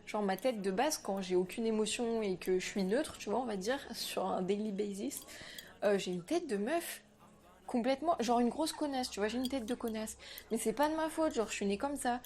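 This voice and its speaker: Young woman, French native speaker, casual vlog chat, animated and slangy, somewhat high voice. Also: normal speed. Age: young adult. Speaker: vlogger.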